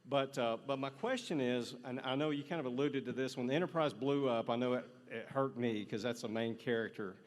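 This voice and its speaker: A man's voice: medium pitch, a little fast, hardly any pauses. A man in his fifties asking a casual, rambling question from the audience, earnest and appreciative. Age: 50s.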